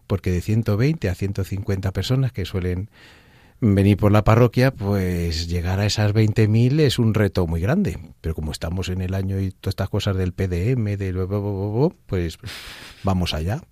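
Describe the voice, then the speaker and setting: Low-voiced parish priest, easygoing. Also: male voice, normal speed, mellow, dry recording, studio quality. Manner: plain, easygoing explaining with fillers. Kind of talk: radio interview.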